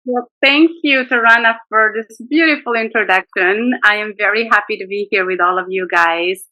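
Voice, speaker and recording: A woman's voice: mid-range voice, normal speed, sharp tone, dry recording, rough recording. A middle-aged woman from Morocco, a native Arabic speaker, speaking earnestly as a guest teacher on a video call webinar, with frequent fillers and restarts. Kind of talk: webinar interview.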